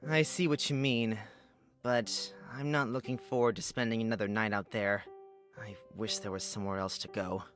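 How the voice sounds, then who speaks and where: A man's voice: fairly low pitch, steady tone, normal speed, fairly bright tone, faint music behind, close to an everyday voice. A man playing an uneasy young traveler lost in a forest, speaking with questioning uncertainty in a visual novel. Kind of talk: visual novel character acting.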